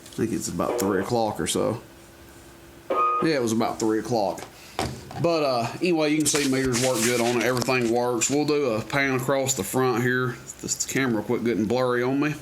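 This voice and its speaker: A man's voice: mid-range voice, a little fast. A man giving a casual, folksy product demo in a rambling, unscripted way, like a seller showing off equipment. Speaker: presenter demonstrating and selling CB radios. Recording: noisy amateur camera recording, clicks.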